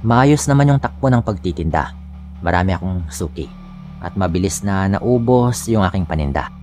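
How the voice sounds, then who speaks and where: Deep-voiced Filipino man narrating scary stories. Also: darkish tone, slight background noise. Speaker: horror story narrator. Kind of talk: first-person scripted scary-story narration. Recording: music bed under the voice. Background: background music.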